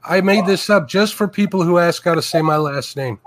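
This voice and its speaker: A man hosting a casual panel chat, easygoing and quick to laugh. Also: high voice, no room sound. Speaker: host.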